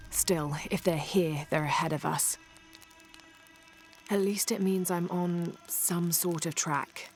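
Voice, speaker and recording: A woman playing a young, determined archaeologist adventurer in a video game, thinking aloud in a measured, earnest way. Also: fairly low pitch. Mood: grim, determined. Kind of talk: video game cutscene acting, murmured reasoning and radio reports. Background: music.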